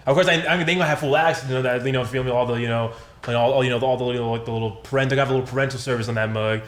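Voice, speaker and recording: Fast-talking young American man, roomy sound. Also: mid-range voice. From Detroit and Tennessee, United States. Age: young adult. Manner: animated storytelling, slangy, repeats phrases. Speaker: podcast guest. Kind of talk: casual podcast chat.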